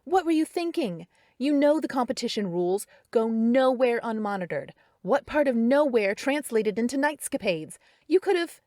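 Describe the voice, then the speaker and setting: A woman's voice: a little high, expressive, normal speed, no room sound, clean studio sound, voice pushed up. Woman voicing a brash, scolding young woman. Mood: angry. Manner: sharp, scolding and loud.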